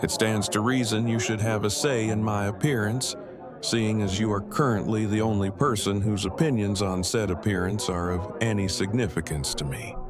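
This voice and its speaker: A man playing an awkward, earnest, bookish survivor in an audio drama, speaking in formal, precise, wordy sentences with a deadpan delivery. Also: on the low side, moderate pace, over music, lightly acted. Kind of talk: fan audio drama acting.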